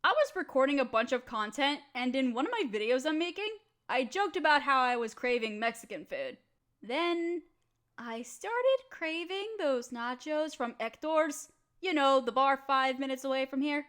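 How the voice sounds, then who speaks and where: High-voiced, restless young woman roommate acted by a woman. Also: expressive, normal speed, fairly bright tone. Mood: chatty. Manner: slangy, dramatic, playfully complaining. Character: bored, restless, chatty young woman roommate stuck in quarantine. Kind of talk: casual scripted roleplay audio, talking to the listener.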